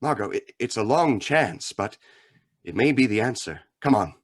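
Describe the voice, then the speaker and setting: A man acting a calm, stern mystery crime-fighter in an old-time radio drama, measured and formal. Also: on the low side, slightly rough. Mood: grave, thoughtful.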